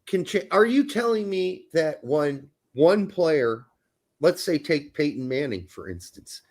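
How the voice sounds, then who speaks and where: A man with a high, very animated voice rants through a sports debate. He swears freely and presses his points hard. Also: unhurried. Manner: profane, ranting, emphatic. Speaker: podcast co-host.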